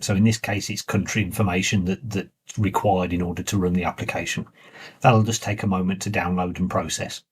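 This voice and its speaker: A man giving a plain, informal step-by-step walkthrough as the presenter of a software tutorial. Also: low voice, slightly rough. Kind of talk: step-by-step screen walkthrough narration.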